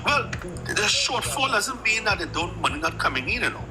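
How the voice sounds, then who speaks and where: A man's voice: high-pitched, very animated, normal speed, over music. A man who calls in to a live chat and explains trade step by step, with many restarts. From Trinidad. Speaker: caller joining the live chat.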